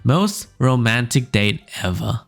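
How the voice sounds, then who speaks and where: A young man hosting a casual podcast, reading funny stories aloud in a smooth low voice, rambling with fillers and small laughs. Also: takes it slowly. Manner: relaxed, rambling, frequent fillers and little laughs. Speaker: podcast host. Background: faint background music.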